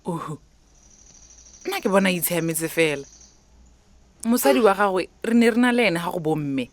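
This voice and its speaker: An actress with a Botswana accent playing a blunt, questioning older aunt in a radio drama. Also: female voice, on the low side, lively intonation, a little fast, natural voice. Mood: conversational.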